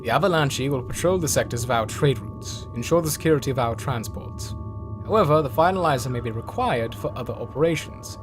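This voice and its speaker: A man voices a stiff, zealous general in a dramatic comic dub. He speaks in formal, pompous speeches at a middle pitch, proud and certain of his cause. Music plays clearly behind him. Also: lightly acted.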